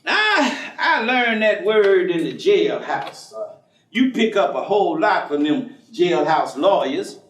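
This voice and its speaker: Actor as high-pitched folksy ex-convict, echoey stage. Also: male voice, big pitch swings, takes it slowly, a bit bright, full character voice. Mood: curious, easygoing. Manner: drawling, chuckling, colloquial, coaxing. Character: folksy, streetwise ex-convict host, persistent and teasing. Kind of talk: staged play reading before an audience. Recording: echoey stage room, distant microphone.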